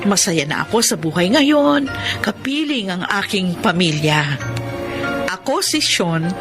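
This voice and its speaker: A woman's voice: medium pitch, very animated, a little slow. A woman acting a devoted stepmother and new wife in Filipino radio drama, speaking earnestly and with feeling. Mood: grateful. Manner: emotional, earnest delivery. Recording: radio drama with music bed. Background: music.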